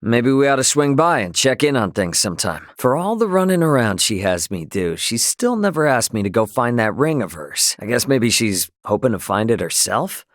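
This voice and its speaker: An actor with an American accent playing a brash, easygoing spacefaring hero in a video game, with a cocky, slangy, joking delivery. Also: male voice, medium pitch, sing-song, normal speed, hardly any pauses, no echo, studio quality, natural voice. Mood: casual, musing. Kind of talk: video game voice lines, battle barks and chatter.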